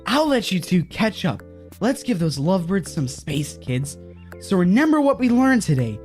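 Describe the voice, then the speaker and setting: A woman's voice: fairly low pitch, sing-song, moderate pace, a little mellow, faint music behind. A woman playing a scheming, upbeat assistant and kids' show host in a horror comedy audio drama. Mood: cheerfully sinister.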